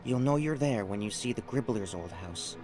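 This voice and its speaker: A man's voice: on the low side, lively intonation, normal speed, music in the background, close to an everyday voice. A man playing a tiny, wary magical guide in a video game, explaining things cautiously and earnestly. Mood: cautious, ominous.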